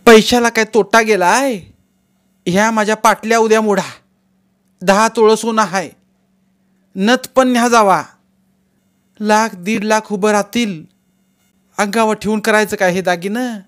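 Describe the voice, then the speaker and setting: A man voicing a feisty old village grandmother who talks loud and shrill. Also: steady tone, a little slow, long pauses. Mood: defiant.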